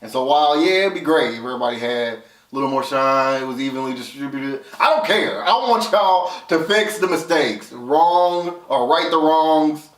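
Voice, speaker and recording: A man's voice: fairly high pitch, normal speed. A man co-hosting a casual film review, explaining his opinions conversationally and reasoning them out step by step. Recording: roomy echo, distant from mic.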